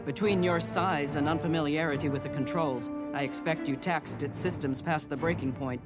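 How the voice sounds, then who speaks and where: An actor playing a sly, self-assured secret agent in a fantasy video game, talking in a wry, confident manner. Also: male voice, a little high, fairly even intonation, normal speed, lightly acted. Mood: reassuring. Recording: game music behind the voice.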